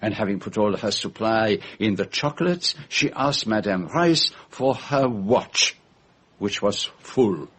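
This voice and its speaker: An actor playing a fussy, shrewd foreign gentleman detective, speaking in precise, formal phrases in a radio drama. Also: on the low side, lively intonation, unhurried, slightly rough, exaggerated voice. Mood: grave. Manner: precise, theatrical, formal phrasing with French forms of address.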